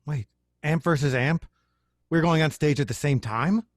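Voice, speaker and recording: A man's voice: on the high side, moderate pace, no room sound, studio quality, lightly acted. A man playing an anxious, defeatist young band frontman in a table read, with a nervous manner. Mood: panicky.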